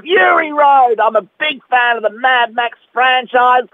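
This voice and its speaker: A man's voice: extremely high pitch, unhurried, no echo, narrow, tinny audio. A man voicing a flamboyant, gushing male entertainment reporter in a theatrical, cooing comic radio interview. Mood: opinionated, cheeky.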